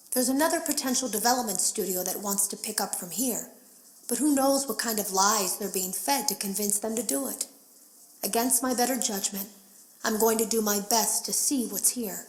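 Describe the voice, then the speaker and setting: A nervous game tester played by a woman, speaking low and confiding in a mid-pitched voice as she records secret logs. Her words carry a roomy echo around them. She sounds watchful and resolute, like someone leaving warnings she fears will be found. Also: lightly acted. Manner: hushed, wary, confiding. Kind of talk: scripted game voice acting.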